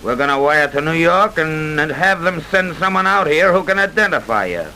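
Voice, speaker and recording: An actor playing a stern, blunt police detective in an old-time radio crime drama. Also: male voice, on the high side, animated, normal speed, bright, forceful tone, low-quality recording. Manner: blunt, businesslike. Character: tough, stern New York police detective. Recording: hissy vintage radio recording.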